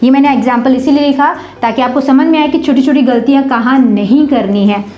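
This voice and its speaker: High-voiced woman teaching grammar in an echoey room. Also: mellow. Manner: patient, asks the class questions and answers them herself; says English example sentences slowly, word by word. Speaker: English teacher. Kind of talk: whiteboard grammar lesson.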